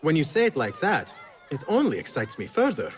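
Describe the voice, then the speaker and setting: A man's voice: a little high, huge pitch swings, fairly quick, some chatter behind. A man voicing a determined, earnest young thief turned assassin trainee in a cinematic video game. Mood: defiant.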